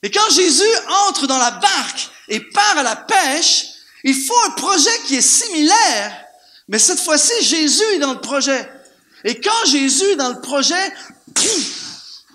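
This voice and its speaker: A man with a Canadian French accent preaching an animated sermon to a church congregation, firing off rhetorical questions and repeating words for emphasis. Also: very high voice, measured pace. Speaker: guest preacher. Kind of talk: lively sermon.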